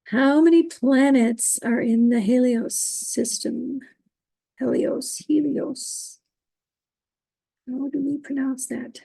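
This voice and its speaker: A woman moderating an online trivia quiz, reading out questions and standings, who is losing her voice and keeps clearing her throat. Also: high-pitched, steady tone, dark tone, no echo, poor audio. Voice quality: gravelly, husky. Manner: upbeat, reading standings, halting on invented words.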